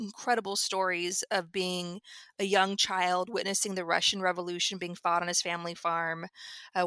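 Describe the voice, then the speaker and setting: American woman, kinda low voice but bright, dry close sound, earnest storytelling. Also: no room sound. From United States. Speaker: screenwriter guest. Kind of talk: interview answer.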